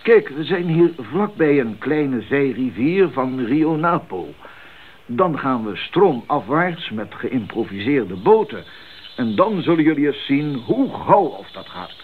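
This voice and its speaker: A seasoned missionary doctor in an eighties radio play. He swings his pitch with breezy enthusiasm and never sounds tired. The recording is thin as a phone line, with some hiss. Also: sing-song, slow pace. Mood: reassuring. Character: cheerful seasoned jungle missionary doctor, older, tireless and enthusiastic. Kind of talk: 1980s Dutch radio play acting. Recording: muffled phone-like old radio recording, noisy.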